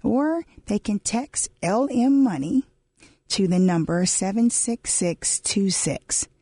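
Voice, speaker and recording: Woman in her forties, husky tone, dry close studio sound, emphatic radio co-host, repeats key words. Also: mid-range voice, lively intonation, slow, mellow, no echo. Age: 40s. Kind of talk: casual radio talk show chat.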